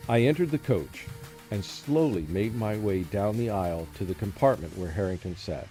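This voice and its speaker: An actor playing a tormented, fearful professor who narrates his own story in a radio play, with an anguished, halting delivery. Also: lowish voice, expressive, mellow, close to an everyday voice, poor audio. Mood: tense suspense. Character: tormented, fearful male professor under a deadly curse. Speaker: narrating lead in a radio drama. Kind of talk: dramatic first-person radio play narration. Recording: prominent music under the voice.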